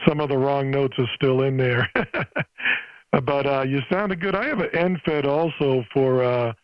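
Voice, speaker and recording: An older American man talks casually on amateur radio. His medium-pitched voice comes through dry, with the dull, limited sound of a radio transmission. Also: measured pace, clean tone, dry recording. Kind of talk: casual ham radio chat. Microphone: radio microphone.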